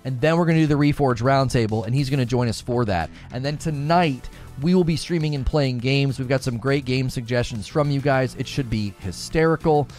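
A man's voice: mid-range voice, brisk, few pauses, darkish tone. A man hosts a casual livestream, rambling through stories with his co-host. He talks with plenty of animation. Faint music sits underneath. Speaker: streamer and show host.

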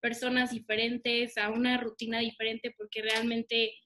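Bright, giggly young woman. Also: fairly high pitch, little pitch movement, normal speed, slight background noise. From Mexico. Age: young adult. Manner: giggly, hesitant, with many fillers. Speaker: podcast co-host. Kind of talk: casual podcast chat. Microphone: remote call recording.

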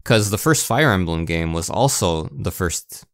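A man with a low voice co-hosts a casual video game podcast. He is conversational and opinionated, and the sound is dry with no room in it. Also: expressive, measured pace, fairly bright tone, no room sound. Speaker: podcast co-host.

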